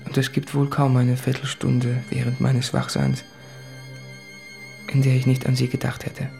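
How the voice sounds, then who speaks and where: A man's voice: mid-range voice, monotone, moderate pace, creaky, darkish tone, soft background music, close to an everyday voice. An actor playing an anguished, introspective man of about thirty reading out his confessional letters in a literary radio drama.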